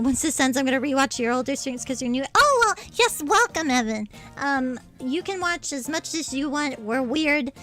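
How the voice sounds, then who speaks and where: A woman streamer chatting casually with her livestream viewers in a bubbly, cutesy, expressive manner. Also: high-pitched. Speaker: streamer, VTuber.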